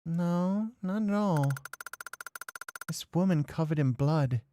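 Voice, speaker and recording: A man voicing a visual novel character, an eager-to-please, polite younger man with a quietly unsettling sweetness. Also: on the high side, slow pace, a little hiss or hum, pitched above the performer's own voice. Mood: unsettled.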